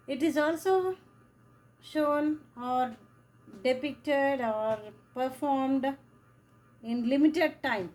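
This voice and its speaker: Slow, high-voiced woman teacher. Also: a little hiss or hum. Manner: slow, repetitive explaining, repeats phrases for emphasis. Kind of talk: classroom lecture.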